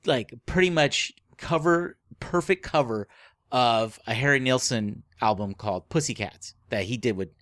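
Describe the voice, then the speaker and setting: Halting man in casual discussion, dry sound. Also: mid-range voice, fairly bright tone, dry recording. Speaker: podcast co-host. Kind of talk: casual book club discussion.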